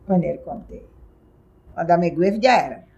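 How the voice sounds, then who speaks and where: A woman's voice: lowish voice, moderate pace, vocal fry, mellow, breathy edge, highs cut off. An older woman playing a blunt, suspicious grandmother who scolds and questions in film dialogue. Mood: suspicious, questioning. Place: dim living room indoors.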